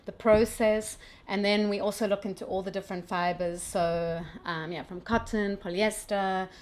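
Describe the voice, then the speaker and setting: A woman's voice: medium pitch, steady tone, normal speed. A middle-aged woman from South Africa, a brand founder, talking through her technical background in a casual interview with frequent restarts.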